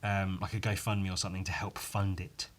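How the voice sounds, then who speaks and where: Croaky, low-voiced man rambling casually. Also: flat delivery, brisk, a bit bright. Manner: sweary, informal, self-deprecating, with tag questions. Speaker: podcast host and illustrator. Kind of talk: casual rambling solo chat.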